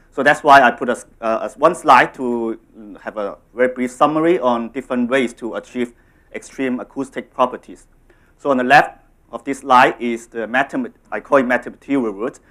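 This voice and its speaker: Hong Kong-accented man giving a lecture. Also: mid-range voice. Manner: steady, explanatory, some fillers. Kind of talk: scientific talk. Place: conference room.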